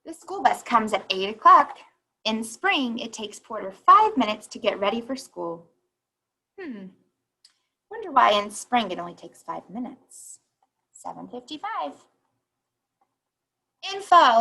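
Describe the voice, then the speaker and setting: A woman reading a children's picture book aloud like a teacher, pausing to think aloud and explain. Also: fairly high pitch, sing-song, gravelly, bright, forceful tone, husky, rough recording.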